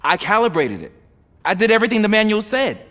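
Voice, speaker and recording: Game rookie officer: put-upon, earnest, defensive, on the higher side. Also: male voice, very animated, quick pace, a bit bright. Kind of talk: video game voice acting.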